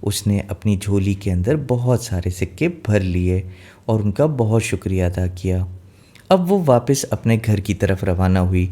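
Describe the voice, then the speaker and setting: Mellow low-voiced man telling a fairy tale. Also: animated, unhurried. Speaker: storyteller. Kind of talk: scripted fairy tale narration for children.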